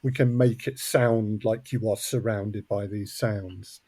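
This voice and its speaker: A man's voice: fairly low pitch, fairly even intonation, takes it slowly, mellow, husky, no echo. A man chatting casually as an interview guest about his creative work. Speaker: guest, audio drama co-creator. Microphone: close mic, wearing headphones.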